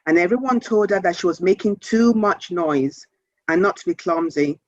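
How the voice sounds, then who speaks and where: A woman's voice: fairly low pitch, expressive, normal speed, a little mellow, no room sound. Woman reading a storybook, dry dull sound. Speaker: author reading aloud. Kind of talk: children's storybook read-aloud.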